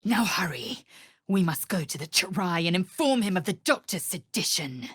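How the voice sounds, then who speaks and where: A haughty, militant young alien warrior woman for a video game. Her voice is low, husky and breathy, recorded close and dry. She speaks slowly and formally, with imperious, clipped disdain. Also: very animated, fairly bright tone, no room sound, full character voice. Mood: cool, measured.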